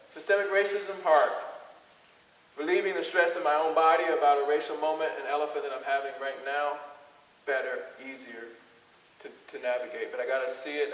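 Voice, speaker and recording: A man with a fairly high voice leads an interactive workshop. He is encouraging, asking questions and echoing answers back as he explains. The sound is distant, with a long hall reverb. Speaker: workshop speaker. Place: large hall with an audience. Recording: echoey hall, distant microphone.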